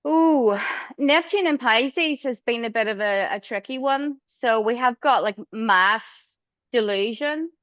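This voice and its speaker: A woman's voice: fairly high pitch, takes it slowly, fairly bright tone, no echo. Woman, lo-fi sound. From Northern Ireland. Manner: chatty, with fillers and restarts. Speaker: astrologer guest. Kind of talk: casual interview answers.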